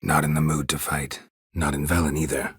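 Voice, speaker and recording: A man playing a gruff, stoic, sardonic monster-hunting mercenary in a video game, terse and deadpan with a gravelly voice. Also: rumbling low, a little fast, no echo, studio quality, full character voice. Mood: dry, guarded. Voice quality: gravelly, husky. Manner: terse, deadpan, clipped sentences. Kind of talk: video game dialogue acting.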